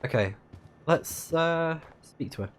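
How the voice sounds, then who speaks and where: A man's voice: a little high, lively intonation, slow, a little hiss or hum. Casual man commenting as he plays. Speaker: streamer playing a story game. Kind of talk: casual let's play commentary.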